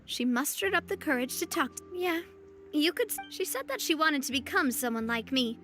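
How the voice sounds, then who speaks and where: A woman's voice: high-pitched, expressive, normal speed, a bit bright, faint music behind. A woman playing a cheerful, confident young lady boss in an anime-style video game, poised and spirited. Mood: caring.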